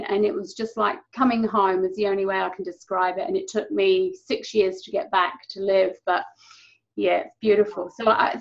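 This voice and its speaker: A woman's voice: medium pitch, creaky, muffled recording. A woman from England with an English accent, hosting an interview and talking about spirituality over a video call. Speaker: interview host. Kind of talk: casual interview chat.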